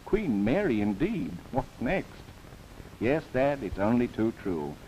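A man's voice: mid-range voice, sing-song, measured pace, mellow, slight background noise, rough recording. A man narrating a scripted 1930s government documentary in formal, theatrical phrasing with wry asides, on an old film soundtrack.

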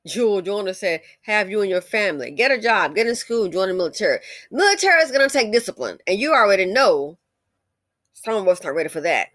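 A woman's voice: mid-range voice, sing-song, brisk, a bit bright, no room sound, studio quality. An American woman giving blunt, scolding, opinionated commentary with emphatic conversational asides and rhetorical questions as she reads out comments. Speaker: commentary channel host.

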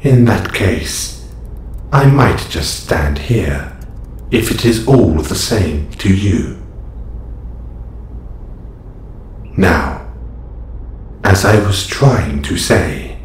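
Robot superhero played by a male actor, audio roleplay. Deep, formal, measured and composed, echoey space with faint noise. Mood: calm, formal. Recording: roomy echo, slight background noise.

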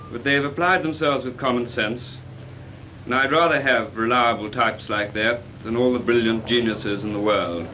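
An actor playing a plain-spoken wartime flight instructor, in a clipped, matter-of-fact 1940s film style. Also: male voice, fairly low pitch, close to an everyday voice. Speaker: flying instructor. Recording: noisy 1940s film soundtrack.

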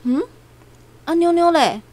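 高音、起伏大的台灣媳婦，氣急責備. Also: female voice, extremely high pitch, animated, measured pace, a little mellow, no room sound. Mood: alarmed. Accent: Taiwanese. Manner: scolding, indignant. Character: exasperated, scolding working daughter-in-law. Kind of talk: radio drama acting in Taiwanese Hokkien.

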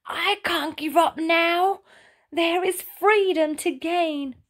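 A woman's voice: very high-pitched, slow, a bit bright, pitched above the performer's own voice, exaggerated voice. A woman voicing a small young male snail, a plucky, dramatic storybook dreamer who speaks with open emotion. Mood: determined. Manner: exclamatory, emotional. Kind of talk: children's storybook character voice.

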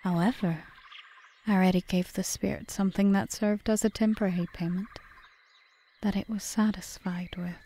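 Woman acting a soft, mellow forest witch. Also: mid-range voice, steady tone, close to an everyday voice. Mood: calculating. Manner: measured, formal, often whispery delivery. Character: female yandere forest witch, calm, calculating, solemn. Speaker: audio roleplay performer. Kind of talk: ASMR roleplay monologue.

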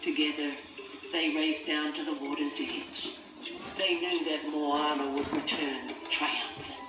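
Echoey storybook narrator over loud music. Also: mid-range voice, level tone, takes it slowly, distant mic. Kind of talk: scripted dramatic children's story narration. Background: loud film-score music.

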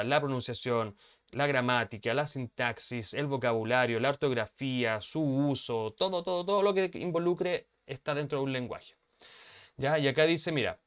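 A man runs an online lesson from home. His voice is smooth and resonant at a middle pitch, and he explains at length, pausing often to check that his students are following. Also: a bit bright. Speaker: English teacher. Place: home room, webcam.

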